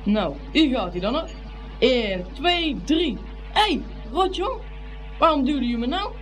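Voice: high voice, sing-song, a little slow, fairly bright tone, soft background music, full character voice. An actor playing a plucky, indignant young schoolboy at a fair, exclaiming and muttering in a radio play. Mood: cheeky, eager. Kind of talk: Dutch radio play acting.

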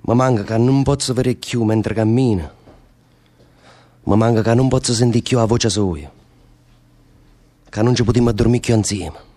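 A man's voice: medium pitch, normal speed, pauses often, warm tone, close to an everyday voice. A man playing a lovesick, restless prisoner in a radio play, telling rambling, impassioned stories in Neapolitan dialect. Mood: brooding longing. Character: lovesick, restless male prisoner, volatile storyteller. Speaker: stage actor. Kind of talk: radio theatre dialogue in dialect.

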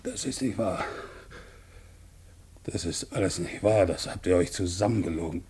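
An actor playing an embittered middle-aged tavern keeper and father in a radio drama, intense and insistent, with a rough-edged husky voice. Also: deep voice, moderate pace, a little mellow, a little hiss or hum, close to an everyday voice. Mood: stunned, bitter. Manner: intense, insistent, repeating himself. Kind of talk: 1950s German radio drama acting.

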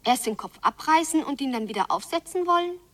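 A woman's voice: high-pitched, lively intonation, brisk, a bit bright, distant mic. A female performer voicing an earnest, naively determined small child in a radio drama.

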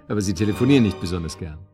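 An actor playing an eager, flirtatious man courting a blind date in a radio drama, courteous and a little hesitant. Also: low voice. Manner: hesitant, chuckling, courteous, coaxing. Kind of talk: naturalistic radio drama acting, phone conversation.

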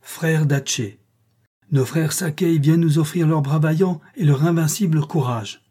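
A man's voice: a little high, normal speed, warm tone, husky, voice pushed up. A man voicing a commanding chieftain giving an imperious speech to a crowd, in a storybook reading. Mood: rousing. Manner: imperious oratory.

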